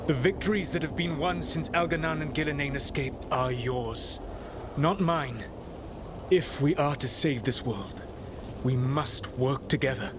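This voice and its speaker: Man acting a grave, formal ancient mage, loud ambience. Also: a little high, background noise, lightly acted. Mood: remorseful. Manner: measured, formal, weighty phrasing. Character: solemn, remorseful ancient male trickster mage, proud and grave. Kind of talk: dramatic video game cutscene acting.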